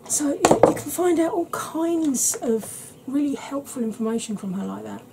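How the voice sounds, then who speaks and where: A woman in her thirties chatting to camera at home in a soft ASMR style, rambling and often dropping into whispers. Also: high-pitched, lively intonation, moderate pace, creaky, background noise. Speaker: beauty vlogger. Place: small cluttered room at home.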